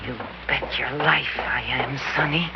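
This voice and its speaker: A woman's voice: extremely low pitch, fairly even intonation, measured pace, vocal fry, full character voice. An actress playing a scheming woman who lures a young man into a trap, coy and sly, in an old radio drama. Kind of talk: 1940s-50s radio drama acting. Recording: muffled vintage radio recording with hiss.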